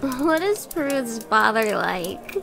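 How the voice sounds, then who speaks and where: A woman's voice: a little high, sing-song, very slow, gravelly, fairly bright tone, exaggerated voice. A woman playing a curious, teasing young adventurer in a visual novel, with an inquisitive, playful manner. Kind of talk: visual novel character acting.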